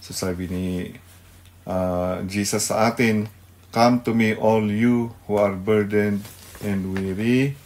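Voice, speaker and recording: A male Filipino pastor hosting a devotional radio program, speaking haltingly and searching for words. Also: lowish voice, steady tone, darkish tone. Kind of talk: devotional talk mixing Tagalog and English.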